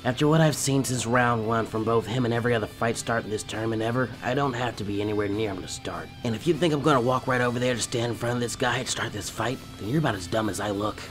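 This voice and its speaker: A man voicing a bored, sardonic teenage ninja strategist in a lazy, dry deadpan. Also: mid-range voice, quick pace, few pauses, faint music behind, voice pushed down, close to an everyday voice. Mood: dryly irritated.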